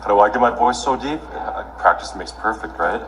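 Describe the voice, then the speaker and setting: A man's voice: on the low side, expressive, fairly quick, roomy sound, a crowd in the background, low-quality recording. A young man chatting casually as a contestant, using many "like" fillers, on an old TV clip. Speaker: model contestant.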